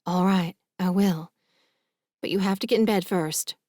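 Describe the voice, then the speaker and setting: A woman's voice: lowish voice, fairly even intonation, unhurried, dark tone, no room sound, studio quality, natural voice. A woman voicing a worried, protective middle-aged mother, stern and fussing, in an audiobook. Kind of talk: audiobook character dialogue.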